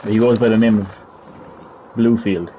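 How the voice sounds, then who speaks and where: Mellow-voiced man chatting over gameplay. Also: lowish voice, fairly even intonation, slight background noise. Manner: rambling, laughs often, reads web text aloud haltingly. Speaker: gaming stream host. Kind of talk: casual gameplay chat.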